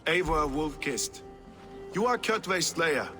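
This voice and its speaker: An actor playing a commanding, measured Norse king in a video game, speaking formally and deliberately. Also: a little high, animated, pushed and bright, heavily acted. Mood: stern. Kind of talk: dramatic scripted game acting. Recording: echoey hall with music underneath.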